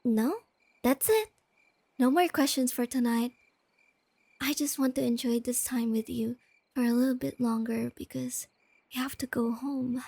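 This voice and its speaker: A woman playing a shy, nerdy, sweet young girlfriend in a soft-spoken, intimate ASMR roleplay. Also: on the high side, steady tone, a little slow, vocal fry, long pauses, slightly rough, dry recording, heavily acted. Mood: affectionate, eager. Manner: gentle, intimate, enthusiastic about explaining facts.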